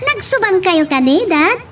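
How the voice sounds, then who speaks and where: Very high, brash spoiled daughter played by a woman. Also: fairly bright tone, slight background noise, heavily acted. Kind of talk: Ilocano radio-style drama acting.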